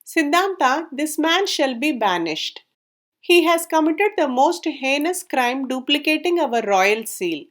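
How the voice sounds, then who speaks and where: A woman's voice: high-pitched, sing-song, measured pace, fairly bright tone, full character voice. A woman voicing a stern but merciful king in a storybook tale, with formal, regal phrasing.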